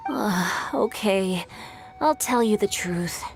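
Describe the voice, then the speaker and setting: A woman's voice: mid-range voice, animated, slow pace, soft background music. A woman voicing a polite, bookish teenage boy in a video game, articulate and a little sheepish. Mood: sheepish, earnest. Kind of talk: video game character dialogue.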